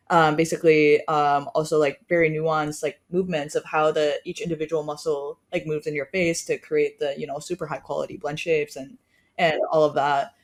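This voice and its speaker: A woman, a startup co-founder, explaining casually on a podcast with many fillers and trailing phrases. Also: sits low.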